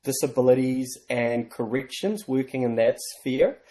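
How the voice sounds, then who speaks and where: A man talks as an interview guest, in a warm, mellow tone of middle pitch. He tells his story in a measured way and pokes gentle fun at himself. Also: expressive. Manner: measured, storytelling, light self-deprecating humor. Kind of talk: conversational interview.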